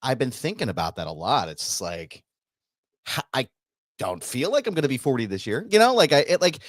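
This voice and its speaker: Host, male, about forty, animated and upbeat, dry close mic. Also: medium pitch, a little fast, no room sound. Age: 30s. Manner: upbeat, laughs easily. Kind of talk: casual chat.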